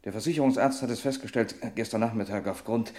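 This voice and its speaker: A man's voice: mid-range voice, fast, darkish tone, lightly acted. An actor playing a hearty, persuasive trucker friend in a German radio crime drama, jovial and teasing, drawing out his announcements. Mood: matter-of-fact.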